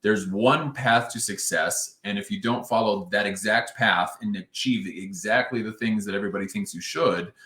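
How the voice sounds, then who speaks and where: A man interviewing a client, asking questions haltingly, with many ums and restarts. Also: fairly low pitch, sharp tone. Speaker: interviewer and coach. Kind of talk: casual interview. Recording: echoey room.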